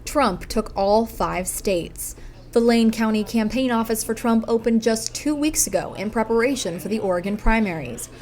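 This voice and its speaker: A woman working as a television news reporter, delivering scripted broadcast reports in a measured, emphatic way. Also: on the high side, darkish tone.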